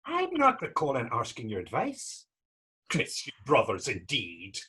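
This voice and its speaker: Man acting husky, teasing young Irish drifter. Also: mid-range voice, wildly swooping pitch, measured pace, pushed and bright, exaggerated voice. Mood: wry teasing. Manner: teasing, lilting folksy phrasing. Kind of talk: stage readers theater dialogue.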